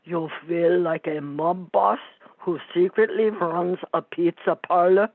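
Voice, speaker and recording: Tinny, slow, pompous psychiatrist, played by a woman. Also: lowish voice, fairly even intonation, no echo. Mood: pompous, analytical. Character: pompous, neurotic psychiatrist counseling a mobster. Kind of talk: comic radio play acting. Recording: tinny phone-like sound.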